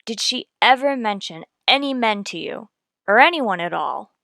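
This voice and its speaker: A woman's voice: mid-range voice, lively intonation, normal speed, creaky, sharp tone, dry recording, clean studio sound. A woman playing a terse, businesslike police detective in a radio crime drama, clipped and matter-of-fact. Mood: questioning. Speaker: narrator and lead actor. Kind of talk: old-time radio crime drama acting.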